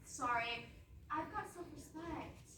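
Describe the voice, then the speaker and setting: A woman's voice: high voice, takes it slowly, sharp tone, heavily acted. A woman playing a cool, scheming young fairy-tale princess in comic readers theatre, flippant and theatrical. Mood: cool, dismissive. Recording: roomy, distant stage recording, faint noise.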